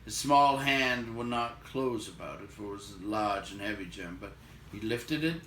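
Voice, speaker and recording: A man reading a fantasy novel aloud as an expressive narrator, with dramatic, suspenseful storytelling. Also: fairly low pitch, moderate pace. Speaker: storybook reader. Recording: echoey room, distant mic, faint noise.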